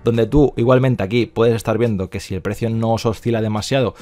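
Low-voiced Spanish man explaining things. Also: fairly even intonation, brisk, mellow. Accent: Spain. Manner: explanatory, repeats himself and checks in with tag questions. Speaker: video presenter promoting crypto mining investments. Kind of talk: casual explanatory screen-share commentary.

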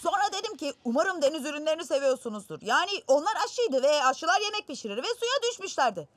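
A woman voicing a boastful, excitable ten-year-old boy hero in a cartoon, eager for attention. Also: sits high, fast talker, dry recording. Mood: proud. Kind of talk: Turkish cartoon dub acting.

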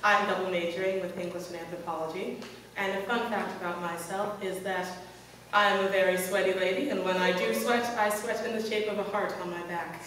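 A woman's voice: medium pitch, steady tone, a little fast, noisy background. A young woman from Los Angeles introducing herself in a cheerful way. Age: young adult. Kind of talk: casual self-introduction. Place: large echoey hall. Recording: echoey hall with long reverb, far off.

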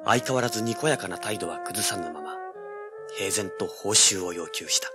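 A man's voice: deep voice, animated, moderate pace, loud music behind the voice. An actor playing a brash, driven young male treasure hunter in an audio drama, with intense dramatic delivery. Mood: tense, grim. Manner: cocky, intense, rough casual speech. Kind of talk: dramatic first-person narration and dialogue, audio drama.

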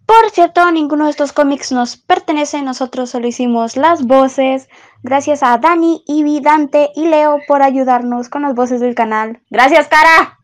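A woman's voice: sits high, measured pace. A woman chatting casually and playfully as she hosts and makes announcements for her channel. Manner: playful, giggly. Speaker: channel host. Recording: music behind the voice. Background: background music.

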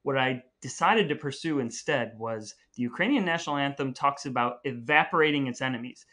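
A man's voice: mid-range voice, animated, brisk, bright, forceful tone. A man co-hosting a podcast in casual, bantering conversation. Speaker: podcast co-host. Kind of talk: casual banter between brothers.

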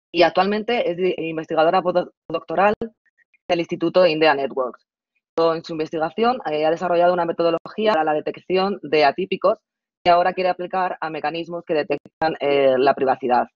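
A woman's voice: fairly low pitch, dry recording. A woman moderates an online seminar and introduces the guest speaker formally, hesitating now and then. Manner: hesitant, with fillers. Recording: dry, dull video call audio.

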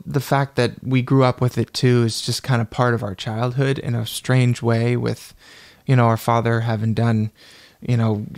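Gravelly young man, reflective. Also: mid-range voice, darkish tone, dry recording. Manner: reflective, searching for words. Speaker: guest musician. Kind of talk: casual interview chat.

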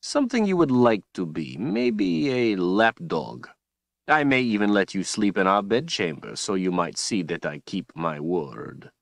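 A man's voice: on the low side, big pitch swings, unhurried, gravelly, no echo, full character voice. A man voicing a cruel male tyrant who speaks in a silky, taunting, menacing way. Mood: mocking.

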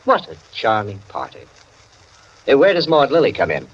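An actor playing a droll, urbane gentleman in an old-time radio drama, bantering in an arch, mock-pompous way. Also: mid-range voice, huge pitch swings, moderate pace, husky. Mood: arch, amused. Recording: dull old radio, faint noise.

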